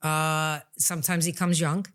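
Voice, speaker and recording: A woman's voice: sits low, flat delivery, normal speed, a bit bright, smooth. Female podcast host and medium, conversational and frank, plain-spoken with emphatic delivery. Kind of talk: candid conversational podcast talk.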